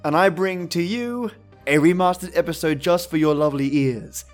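A man's voice: on the high side, moderate pace, soft background music. A man hosting an old radio drama channel, giving a scripted, theatrical and playful spooky introduction.

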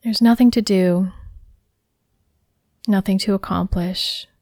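Woman, meditation guide, soft and soothing, mellow dark tone, breathy phrase endings, unhurried gentle instructions, very dry sound. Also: mid-range voice, steady tone, dry recording. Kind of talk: guided body scan meditation.

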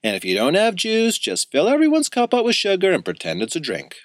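A man putting on an excitable storybook boy with a raised, bouncing voice that leaps between highs and lows. He sounds eager and breathless, always scheming, in a dry, close recording. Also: fairly quick, dry recording. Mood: urgent. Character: excitable boy party planner.

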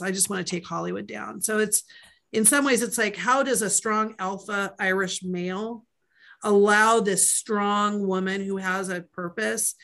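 A woman guest on a casual podcast talks about her books in a loose, rambling way, full of fillers and side remarks. Her voice is bright and forward, at an ordinary pitch for a woman. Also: gravelly. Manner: chatty, rambling, with many fillers and asides. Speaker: author guest.

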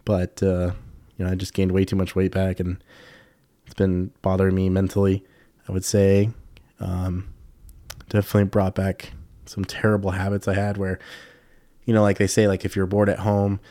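A young man with a low voice and a slightly congested sound, talking through a relaxed solo podcast episode. His tone is mellow and dark, and the recording is dry and close, with no room around it. He rambles candidly and leans on lots of "you know"s and "um"s. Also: moderate pace, long pauses, no echo. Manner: rambling, loose and candid, many fillers and swearing. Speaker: podcast host. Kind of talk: casual solo podcast chat.

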